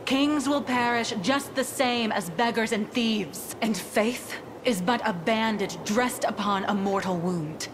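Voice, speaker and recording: A woman's voice: on the high side, measured pace, hardly any pauses, background noise, close to an everyday voice. An actress playing a stern, proud lady commander, with a formal, commanding, sardonic manner in a fantasy game. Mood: weary, solemn. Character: stern, proud lady commander keeping order amid plague. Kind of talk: theatrical fantasy game acting. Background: music.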